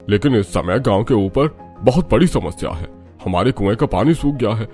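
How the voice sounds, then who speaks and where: A man's voice: fairly low pitch, expressive, normal speed, a little mellow, faint music behind, close to an everyday voice. A man voicing hearty, plain-spoken older village men in a cartoon story, with emphatic delivery. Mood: worried, urgent. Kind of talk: Hindi cartoon story dialogue.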